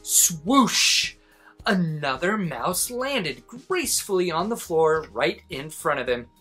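A man in his forties reading a children's story aloud as an expressive narrator. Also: on the high side, takes it slowly, husky, soft background music. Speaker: storybook reader, host.

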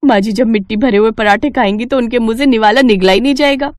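A woman's voice: a little high, quick pace, no echo, lightly acted. A woman voicing a greedy, pushy grown daughter-in-law in a cartoon moral story, complaining and eager. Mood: scheming. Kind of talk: animated moral story dialogue.

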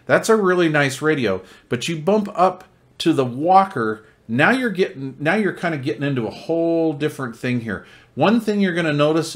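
An American man giving a casual hands-on product review in a folksy, conversational, enthusiast's manner. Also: fairly high pitch. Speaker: radio reviewer.

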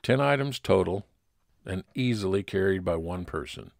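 A man's voice: low-pitched, lively intonation, normal speed, gravelly, dry recording, studio quality. A man playing a private investigator who narrates his case plainly, in old time radio detective style. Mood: matter-of-fact.

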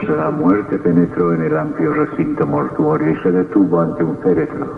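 A man's voice: low voice, expressive, moderate pace. A man narrating a scripted horror radio drama in an ominous, dramatic manner. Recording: tinny, distant old radio recording, faint music.

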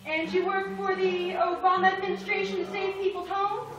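Lady doing a sassy high mama nature tree, far off, echoey room. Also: level tone, moderate pace, a crowd in the background. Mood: puzzled. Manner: indignant, sassy. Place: small indoor puppet stage.

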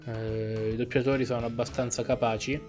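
A young man from Italy gives casual commentary as a reaction video host, thinking aloud with hesitant pauses. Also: mid-range voice, flat delivery, darkish tone, faint music behind. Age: young adult. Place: small indoor room at home with green screen.

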